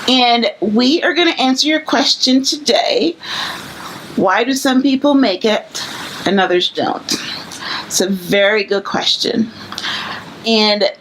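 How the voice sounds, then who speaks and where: A woman, a life coach hosting a podcast, talking in a chatty way full of fillers and quick asides. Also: a little high, a little hiss or hum, rough recording. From Guyana. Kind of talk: casual podcast conversation. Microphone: on camera.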